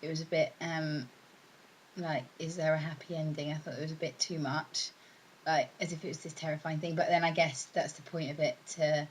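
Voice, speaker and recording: A woman's voice: sits low, little pitch movement, moderate pace, a little hiss or hum, rough recording. A woman chatting casually as an interviewee, tentative and hedging as she goes. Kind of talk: casual chat.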